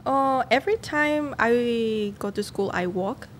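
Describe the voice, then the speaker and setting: A woman's voice: fairly high pitch, slow, a little hiss or hum. A young woman from Mexico, a guest on a talk show, speaking hesitantly with fillers and restarts. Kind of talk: casual chat.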